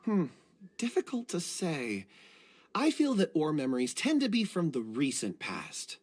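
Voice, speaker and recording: An actor playing a mild, thoughtful rock surveyor in a video game, husky, musing and polite. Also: male voice, on the high side, wildly swooping pitch, measured pace, a little mellow, full character voice.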